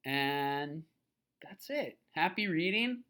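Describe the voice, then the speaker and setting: A storybook reader giving an expressive children's read-aloud, pausing to explain and ask questions. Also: mid-range voice.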